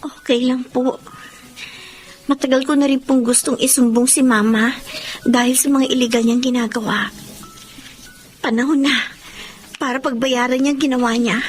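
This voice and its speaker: A woman's voice: sits high, lightly acted. A woman playing a humble, grateful fifteen-year-old girl in an emotional radio drama, speaking deferentially. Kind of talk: radio drama acting with first-person narration.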